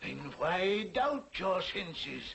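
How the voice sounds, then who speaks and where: An actor playing the solemn, mournful ghost of a dead man in a slow, portentous 1940s television drama style. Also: high voice, sing-song, vocal fry, a bit bright, slight background noise, exaggerated voice. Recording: old 1940s TV soundtrack, music behind.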